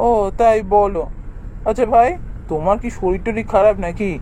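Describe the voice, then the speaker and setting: A man's voice: sits high, moderate pace, mellow, slight background noise, lightly acted, low-quality recording. Man playing a jumpy, anxious husband with a guilty secret. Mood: puzzled. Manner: anxious, exclamatory, repeats words. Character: guilty, jumpy married male writer in ghost story. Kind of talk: horror cartoon voice acting. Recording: music behind the voice.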